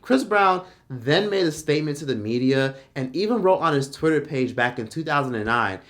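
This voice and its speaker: A man's voice: on the high side, lively intonation, moderate pace, fairly bright tone. A man narrating a commentary with gossipy storytelling. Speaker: commentary host.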